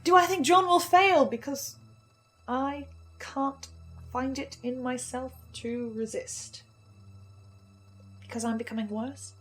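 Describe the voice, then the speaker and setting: A high-pitched voice for a woman acting a brooding, defiant female researcher. She speaks in a dramatic, halting monologue, tripping over words and pausing with intensity. Faint music plays behind her. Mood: troubled, self-doubting. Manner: halting, stammering, intense, with pauses. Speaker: host reviewing a fiction podcast in character.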